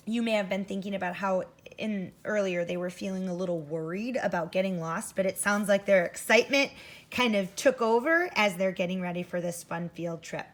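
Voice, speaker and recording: An American woman teacher doing an interactive read-aloud for first graders, addressing young listeners directly and instructively. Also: medium pitch. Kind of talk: interactive children's story read-aloud with comprehension questions.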